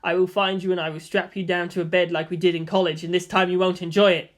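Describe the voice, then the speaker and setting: A woman's voice: fairly low pitch, flat delivery, fast talker, close to an everyday voice. A woman voicing a stern, irritable female scientist with a curt, sardonic manner. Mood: threatening, sardonic.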